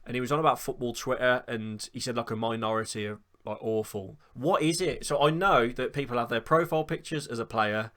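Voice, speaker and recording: Lad in his 20s, rattles on fast, chatty football podcast host, swears a bit. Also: mid-range voice, fairly bright tone. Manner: chatty, quick, swears casually. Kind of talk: casual football chat.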